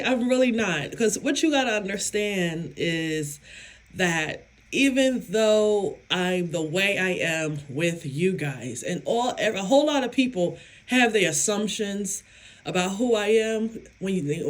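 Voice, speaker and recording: A woman vlogger and psychic medium talking casually to her viewers, with a hoarse, raspy voice and an emphatic, self-assured way of speaking. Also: medium pitch. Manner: slow, emphatic, self-affirming, laughs often. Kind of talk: casual talk to camera.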